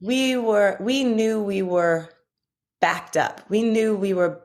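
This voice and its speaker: A woman from Washington, D.C. chats as a podcast guest. She tells stories casually, with plenty of likes and you-knows, and her voice sits at an everyday middle pitch. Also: slow pace. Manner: animated storytelling with fillers. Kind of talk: casual conversation.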